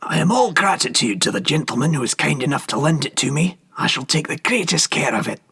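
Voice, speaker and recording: A man voicing a frail, vain old gentleman with a thin, reedy voice, fussing and repeating himself. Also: a little high, brisk, vocal fry, slightly rough, voice pushed up, full character voice. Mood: pleased. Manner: anxious, fussy, self-flattering repetition.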